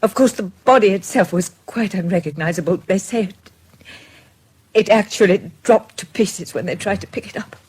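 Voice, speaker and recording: An actress playing a well-spoken, agitated English lady with a husky voice, earnest and gossipy, in a British radio drama. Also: medium pitch, normal speed, darkish tone, lightly acted. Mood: agitated, grave.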